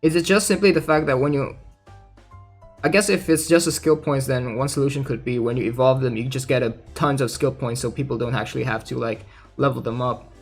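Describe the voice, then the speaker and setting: A man streaming casually about a game, reading aloud and thinking out loud in a hesitant way with plenty of filler words. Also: mid-range voice, fairly even intonation, fairly quick, husky, soft background music. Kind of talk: casual livestream commentary, reading aloud and reacting.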